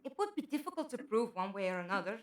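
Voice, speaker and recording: Woman acting as composed leader, expressive higher voice. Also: fast talker. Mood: cautious, measured. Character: composed, cautious woman leader of amnesiac captives. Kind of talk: fan audio drama acting.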